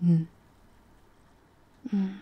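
A woman's voice: drawn-out words, dull audio. A woman voicing a seductive, possessive vampire countess in an intimate, aristocratic manner for an ASMR roleplay. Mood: amorous.

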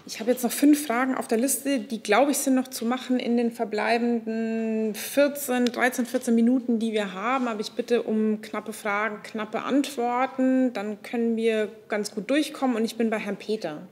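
A woman's voice: fairly high pitch, steady tone, normal speed, hardly any pauses, slight background noise. A woman moderating a press conference and relaying questions in a businesslike, direct manner. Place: large indoor press conference room.

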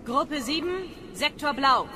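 High-voiced female sergeant. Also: unhurried, background noise. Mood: brisk. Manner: clipped, official. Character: brisk female army sergeant calling roll. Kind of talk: military roll call in radio drama.

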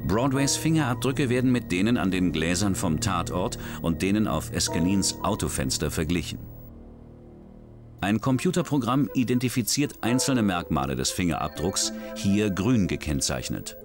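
A man's voice: sits low, big pitch swings, normal speed. A man reading scripted true-crime documentary narration as a voice-over. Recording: music bed under voice-over. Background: background music.

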